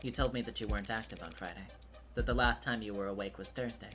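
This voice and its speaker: A man's voice: lowish voice, steady tone, quick pace, close to an everyday voice. A man voicing a cynical, hard-edged defense lawyer in a video game, with a clipped, lecturing manner. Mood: probing. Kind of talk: video game character voice acting.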